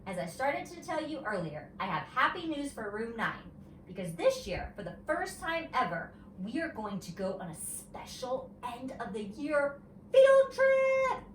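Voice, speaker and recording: A woman voicing a patient, gently firm female kindergarten teacher in a storybook, explaining carefully to young children. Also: high voice, very animated, a little slow, voice pushed up. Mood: pleased. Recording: roomy, distant mic, faint noise.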